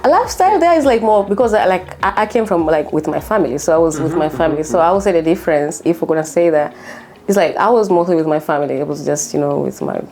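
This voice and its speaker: A woman's voice: low-pitched, expressive, fast, darkish tone, a little hiss or hum. A woman in her thirties from Tanzania, speaking as an interview guest in casual, personal storytelling, informally and repeating herself with lots of filler phrases. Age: 30s. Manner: informal, repeats herself, many "like" and "you know".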